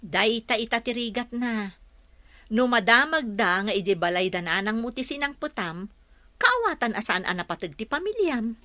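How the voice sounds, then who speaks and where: Bright, frank Ilocano woman friend. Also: on the high side, animated, normal speed, dry recording. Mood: concerned. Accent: Ilocano Filipino. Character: frank woman confidante advising her troubled friend. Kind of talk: Ilocano radio drama acting.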